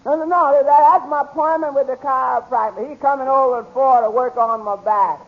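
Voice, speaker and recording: An actor playing a bumbling, muddled lawyer in 1940s radio comedy, stammering through roundabout, ungrammatical explanations. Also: male voice, very high voice, steady tone, brisk. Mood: muddled, eager. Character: bumbling, confidently muddled comic male lawyer. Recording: dull, noisy old radio recording.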